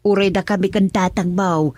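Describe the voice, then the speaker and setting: A woman's voice: mid-range voice, fairly even intonation, normal speed, a little mellow, dry recording, close to an everyday voice. An actress playing a concerned older mother in Ilocano radio drama, with a Filipino accent. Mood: worried.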